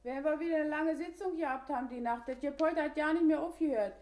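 An actress in a radio play as a plain-spoken, homely housemaid. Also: female voice, high voice, fast talker, a little mellow, natural voice. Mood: chatty. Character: chatty housemaid singing at her chores. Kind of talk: German radio play acting. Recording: echoey room sound.

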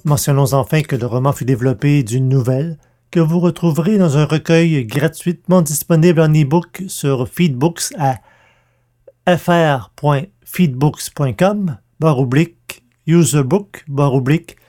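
A man's voice: a little high, unhurried, mellow. A man presenting and interviewing on a podcast in a scripted announcing style.

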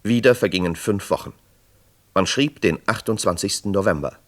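A man's voice: lowish voice, normal speed, natural voice. A man narrating a scripted true crime radio drama in a sober manner. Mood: sober, suspenseful.

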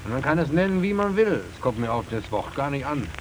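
A man's voice: medium pitch, big pitch swings, fairly quick, natural voice. A man playing a dreamy, defiant mine worker with bitter irony, musing and theatrical in an old German radio drama. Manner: musing, theatrical, swinging from soft wonder to outcry. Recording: noisy archival radio play recording.

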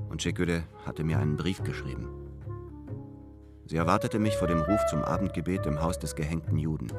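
An actor in a radio drama playing a lovesick, yearning man, intimate and earnest as he narrates and speaks. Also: rumbling low. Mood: brooding, hushed. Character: lovesick, yearning man back after twelve years.

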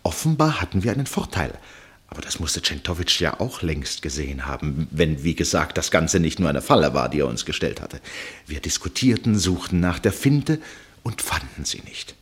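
An actor narrating a literary radio play as a keenly observant, fascinated storyteller, with dramatic pauses and hushed phrase endings. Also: male voice, extremely low pitch, big pitch swings, breathy edge, exaggerated voice. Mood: gripped, tense. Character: male storyteller, keen fascinated observer of a chess match.

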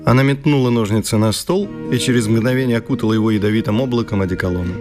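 A man's voice: on the low side, fast. A man narrating a scripted radio play in a literary, dramatic style. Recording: music under the voice. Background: music.

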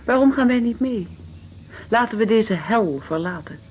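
A woman's voice: fairly high pitch, lively intonation, normal speed, slight background noise, lightly acted. An actress in a radio drama playing an earnest, idealistic young lady with a questioning manner. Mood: earnest, restless. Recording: dull lo-fi radio play recording.

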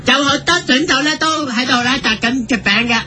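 A woman's voice: high-pitched, normal speed, background noise, lightly acted. A woman playing a hesitant, bumbling comic sports reporter with a Hong Kong accent, stammering and reluctant. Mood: dutiful reporting.

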